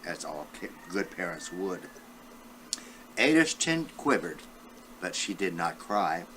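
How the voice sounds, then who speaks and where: A man's voice: deep voice, big pitch swings, slow, sharp tone, slight background noise. An elderly man reading a children's storybook aloud, talking directly to his young listeners as a great-grandfather would. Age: 70s or older.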